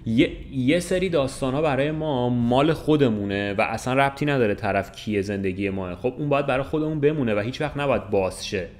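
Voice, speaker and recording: A man's voice: mid-range voice, hardly any pauses, a little mellow. A man in his twenties talking casually and colloquially, explaining his view and checking that the listener follows. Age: 20s. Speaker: podcast co-host. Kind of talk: casual chat. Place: room with large window, indoors. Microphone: desk microphone.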